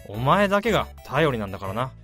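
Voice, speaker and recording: A man's voice: mid-range voice, big pitch swings, fast, a bit bright, faint music behind. An actor playing an easygoing middle-aged section chief in a radio drama who talks to his old colleague in a casual, reassuring way. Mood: breezy. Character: easygoing male manager, friendly to his old peer.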